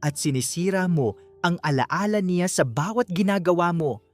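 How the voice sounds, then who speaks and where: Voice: medium pitch, expressive, moderate pace, soft background music, lightly acted. Narrator playing a wounded, defiant rich son. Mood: sad.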